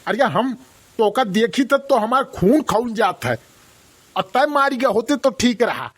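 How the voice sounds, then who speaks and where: A man's voice: very high-pitched, big pitch swings, unhurried, exaggerated voice. A man voicing a scolding, sarcastic village father in a comic cartoon, with an Awadhi accent. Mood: angry scolding. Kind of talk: comic rustic cartoon dialogue.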